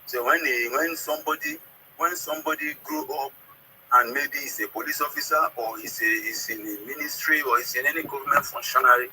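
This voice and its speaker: A man from southern Nigeria with a southern Nigerian accent and Ika as his first language, calling in to explain history, haltingly, repeating words and checking he is understood. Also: mid-range voice, bright, forceful tone. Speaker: caller. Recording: noisy call audio.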